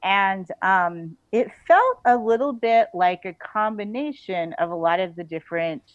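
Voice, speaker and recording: A woman's voice: medium pitch, expressive, unhurried, no echo. A woman talking effusively and casually as an author being interviewed, in a loose conversational way full of "like". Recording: band-limited, slightly dull audio.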